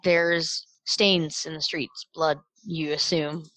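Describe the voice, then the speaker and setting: A woman's voice: sits low, little pitch movement, slow, a bit bright, dry recording. A woman narrating a tabletop game as game master in an informal, joking, conversational way.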